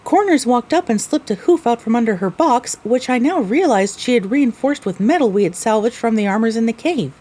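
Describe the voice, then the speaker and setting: Woman, story narrator, high-pitched, soft mellow tone, animated intonation, scripted first-person narration. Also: a little fast, hardly any pauses.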